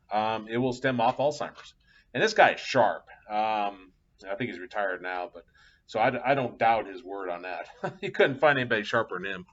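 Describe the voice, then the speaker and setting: Middle-aged man, math instructor, relaxed rambling lecture, muffled lo-fi mic. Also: lowish voice. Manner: casual, chatty, thinking aloud with fillers. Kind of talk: live class lecture with anecdotes. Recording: dull lo-fi classroom capture.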